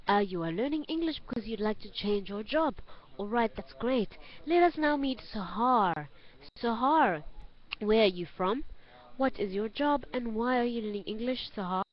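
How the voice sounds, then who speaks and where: A woman with a South African accent teaching an online English class, giving instructions clearly and repeating phrases for learners. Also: on the high side, expressive, unhurried. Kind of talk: online group lesson. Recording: dull lo-fi online call audio.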